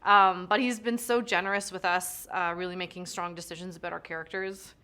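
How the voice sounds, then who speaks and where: A woman with a fairly low voice, talking in an easy, chatty way during an interview. She fills her sentences with small fillers and quick asides. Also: steady tone, sharp tone, clear, resonant tone. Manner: chatty, many fillers and likes. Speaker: actor. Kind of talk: casual junket interview.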